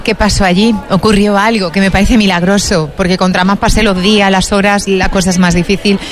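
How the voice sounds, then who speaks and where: An effusive host running a live on-location interview show. The voice sits at a middle pitch and bursts into exclamations as it moves from guest to guest. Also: little pitch movement, brisk, slight background noise. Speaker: radio host.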